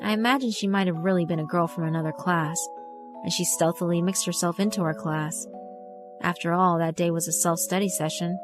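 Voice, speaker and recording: A woman's voice: fairly low pitch, fairly even intonation, fairly quick. A woman reading scripted first-person story narration for a visual novel. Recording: music behind the voice. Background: mallet and glockenspiel music.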